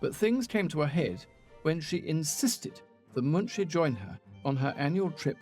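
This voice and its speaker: Higher-pitched male documentary narrator. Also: big pitch swings, moderate pace, a little mellow. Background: music.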